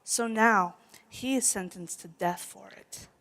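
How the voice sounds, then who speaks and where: Puppet-show part: patient storyteller played by a woman, medium pitch, simple explanatory delivery. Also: takes it slowly, vocal fry, a bit bright. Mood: patient, solemn.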